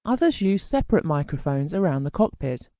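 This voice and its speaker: A narrator reading a scripted technical lesson in a measured, formal, explanatory way. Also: mid-range voice, very animated, warm tone, no room sound, clean studio sound. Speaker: instructional narrator.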